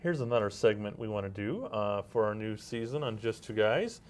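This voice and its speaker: A man co-hosting a comedy podcast in a casual, halting, informal way as he introduces segments. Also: on the low side, very noisy. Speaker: podcast co-host.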